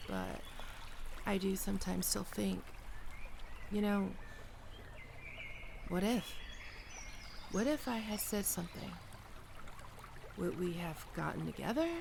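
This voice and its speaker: A performer playing a gentle young best friend with a secret crush, speaking softly and hesitantly in an ASMR roleplay, comforting and tender. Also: medium pitch, creaky, background noise. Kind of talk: soft-spoken ASMR roleplay.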